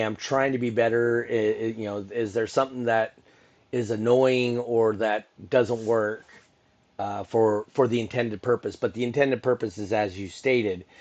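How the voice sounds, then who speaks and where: A man's voice: on the low side, dull audio. A middle-aged man hosting a casual podcast conversation, rambling with many restarts and asides. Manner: rambling, full of restarts and "you know". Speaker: podcast host and event announcer.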